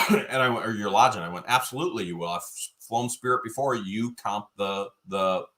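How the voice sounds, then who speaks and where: A man co-hosting a casual livestream, telling stories in an animated, joking way, his voice a little worn from overuse. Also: fairly low pitch, moderate pace. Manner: animated, jokey, quotes people in his stories. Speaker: co-host of a livestream. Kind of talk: casual storytelling chat.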